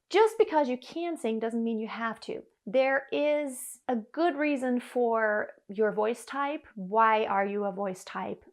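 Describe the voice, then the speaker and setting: Woman vocal coach in her 30s, from germany, chatty lesson by the piano, kinda high voice. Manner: earnest, encouraging, animated with her hands. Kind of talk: direct-to-camera singing lesson. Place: living room in front of an upright piano.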